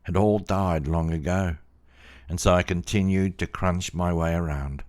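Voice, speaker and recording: A man narrating a first-person story in a measured, plain-spoken way. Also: deep voice, fairly even intonation, a little mellow. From Australia.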